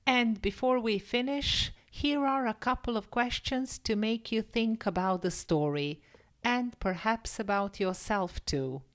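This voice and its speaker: A woman English teacher reading a scripted story and vocabulary lesson in a measured, careful, explanatory manner. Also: fairly high pitch.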